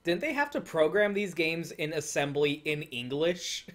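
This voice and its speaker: A man's voice: fairly high pitch, lively intonation, moderate pace. A man in his thirties giving casual, joking, sweary commentary while playing a game. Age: 30s. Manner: crude, swearing. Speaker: gaming video host.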